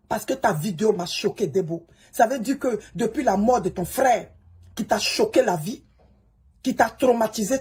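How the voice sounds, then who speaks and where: Woman, English speaker, very husky voice, slightly high, pleading, preachy advice. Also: mellow. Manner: pleading and preachy, repeats the listener's name. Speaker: social media coach. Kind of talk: advice monologue to a fellow creator.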